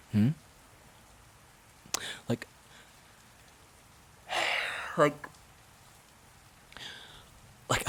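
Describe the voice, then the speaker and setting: A man acting in an emotional audio drama as an exhausted, resentful boyfriend, halting and pleading with a breathy voice that often drops close to a whisper. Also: lowish voice, bright, forceful tone, heavily acted. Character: exhausted, resentful boyfriend at his breaking point.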